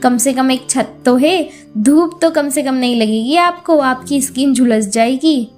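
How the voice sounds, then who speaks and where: A humble working mother, voiced by a woman storyteller, earnest and reassuring as she speaks. Her voice is high and music plays underneath. She sounds like a character from a simple moral tale. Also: a little slow, a little mellow. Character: humble, contented poor housemaid and loving mother.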